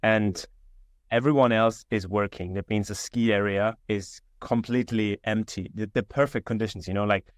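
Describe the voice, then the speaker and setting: A man's voice: on the low side, normal speed, dry recording, studio quality. A man in his twenties with a German accent, chatting casually as an interview guest over a video call, with lots of fillers and restarts. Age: 20s. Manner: relaxed, many fillers and restarts. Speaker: guest entrepreneur. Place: indoor room.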